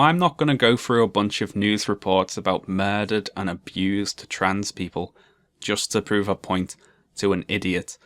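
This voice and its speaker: A young man with a monotone, dryly sarcastic delivery, narrating a commentary video essay. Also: on the low side, no echo.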